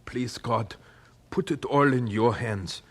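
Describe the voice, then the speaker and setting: Casting: an earnest, determined German preacher in a radio play, medium pitch, rough-edged husky tone, fervent. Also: male voice, slow pace. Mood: prayerful. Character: earnest, devout, stubborn German missionary running orphanages. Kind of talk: old-time radio drama acting.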